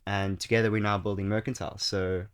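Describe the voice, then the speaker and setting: A young man with a South African accent and a fairly low voice, speaking as a guest on a podcast. He talks in a relaxed, chatty way, full of fillers and restarts. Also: fast, clean tone. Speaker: guest, startup founder. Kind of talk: casual interview chat.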